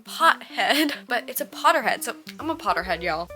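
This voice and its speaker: A young adult American woman chatting casually in a reading vlog, with an expressive, gushing fangirl delivery and frequent use of "like". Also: fairly high pitch, moderate pace, soft background music. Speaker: book vlogger.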